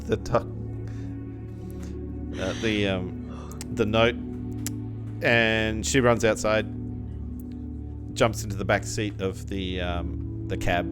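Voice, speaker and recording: A woman's voice: extremely low pitch, fairly even intonation, slow pace, long pauses. A woman improvising in a tabletop role-playing game, casual and hesitant as she searches for words. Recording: music behind the voice. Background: background music.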